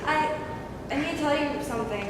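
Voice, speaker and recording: Concerned gentle friend, played by a woman, sincere, high voice, amateur play, noisy echoing hall. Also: a little fast, natural voice. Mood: reluctant, worried. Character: gentle, concerned young female friend bearing bad news. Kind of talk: amateur stage play acting. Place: indoor hall, recorded from far off. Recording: very echoey hall, distant mic, clear noise.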